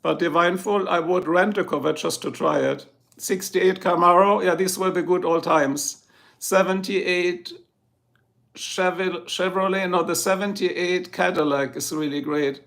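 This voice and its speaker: A man's voice: sits high, steady tone, a little slow, gravelly, fairly bright tone. A middle-aged man with a German accent, chatting casually with viewers on his livestream and answering their comments. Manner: chatty, answers viewers one by one, chuckles often. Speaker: livestream host.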